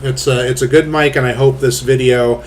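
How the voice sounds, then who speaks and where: A man hosting a casual commentary chat, rambling through fillers with blunt, crude talk and a booming voice. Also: steady tone, fairly quick, a little hiss or hum. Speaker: host.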